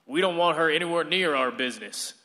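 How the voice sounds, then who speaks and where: Bright, declamatory gun-class instructor. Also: male voice, fairly high pitch, a little fast. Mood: smooth, commanding. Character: glib, showy male gun-safety instructor. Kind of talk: radio play acting. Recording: reverberant hall sound.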